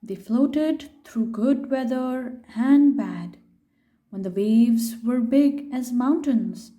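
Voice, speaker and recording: A woman reading a picture book aloud to children in an expressive, unhurried way, pausing to put questions to her young listeners. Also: fairly high pitch.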